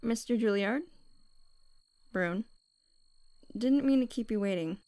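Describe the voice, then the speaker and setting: A woman's voice: fairly high pitch, slow, mellow, slight background noise. A woman acting in an audio drama as a reserved young heist crew member, understated and slightly hesitant.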